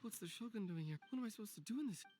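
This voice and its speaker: A woman playing a humble, flustered market snack seller in a video game, deferential and halting. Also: medium pitch, lively intonation, warm tone, slight background noise, lightly acted. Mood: startled. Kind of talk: English video game voice acting.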